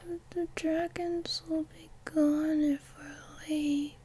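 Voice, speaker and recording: A woman playing a sleepy, affectionate young girlfriend in an intimate ASMR roleplay, soft and breathy, often whispering, with a coaxing, playfully bossy murmur. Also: high voice, flat delivery. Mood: dreamy sleeptalk. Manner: drowsy, slow, murmuring, coaxing.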